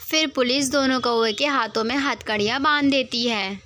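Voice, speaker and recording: A woman's voice: on the high side, moderate pace, sharp tone, slight background noise. A woman narrating a scripted children's cartoon story as the storyteller.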